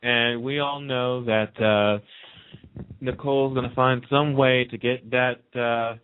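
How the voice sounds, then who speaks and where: A man's voice: mid-range voice, fairly even intonation, slow pace, smooth. Man chatting over a phone line. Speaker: radio show guest. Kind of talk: casual chat about a TV soap. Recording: narrow phone-line sound.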